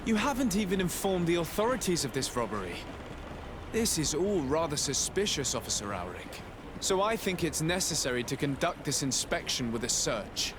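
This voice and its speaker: Game role: composed young aristocratic legate, articulate and probing, lightly pitched, with noticeable outdoor background noise. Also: male voice, sing-song. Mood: stern, probing. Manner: formal, measured, articulate. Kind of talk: video game cutscene acting. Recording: noisy outdoor ambience behind the voice.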